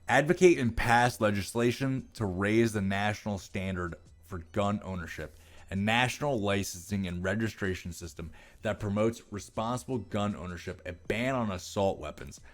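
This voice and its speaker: A man's voice: on the low side, moderate pace. An American man reading aloud and ranting casually about it, with profane, exasperated and sarcastic commentary. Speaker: political commentary vlogger.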